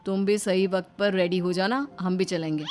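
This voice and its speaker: A man's voice: sits high, steady tone, normal speed, a little mellow, soft background music, close to an everyday voice. A man voicing blustering and put-upon male characters in a Hindi cartoon story. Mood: resigned, pleading. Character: bumbling street robber and a resigned young husband.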